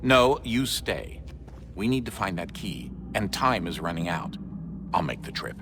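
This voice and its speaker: Deep-voiced, decisive team leader, noisy. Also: male voice, very animated, unhurried, full character voice. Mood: firm. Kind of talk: dramatic video game acting.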